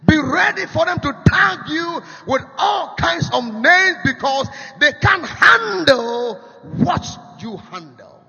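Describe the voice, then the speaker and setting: A man with a Ghanaian accent preaching a sermon, shouting in sing-song, repeating rising phrases in quick bursts. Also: very high voice, animated, gravelly. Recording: dull lo-fi sound with long hall reverb.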